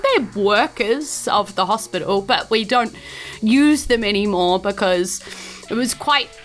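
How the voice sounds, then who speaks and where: A woman's voice: medium pitch, lively intonation, a little slow, soft background music, natural voice. A woman voicing a female hospital nurse in a tabletop game, hesitant and placating as she explains, with a New Zealand accent. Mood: matter-of-fact.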